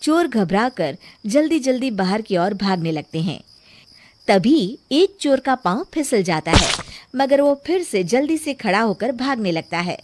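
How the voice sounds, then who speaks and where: A woman's voice: fairly high pitch, big pitch swings, unhurried, a little mellow, a little hiss or hum. A woman reading a scripted children's story as its narrator.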